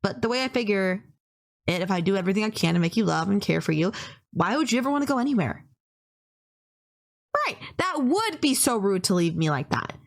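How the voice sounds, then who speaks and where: A woman's voice: medium pitch, lively intonation, a little fast, creaky, long pauses. A woman playing a polite, gushing young female kidnapper in an intimate anime-style roleplay, earnest, apologetic and rambling, obsessively in love. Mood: earnest, insistent.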